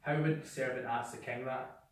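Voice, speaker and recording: A man's voice: medium pitch, steady tone, moderate pace. A man giving a blunt, sweary sales training talk to a small group, acting out how people sound. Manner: blunt, swears freely, acts out voices. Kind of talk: workshop talk. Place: indoor room with a small group. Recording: echoey room, mic far off.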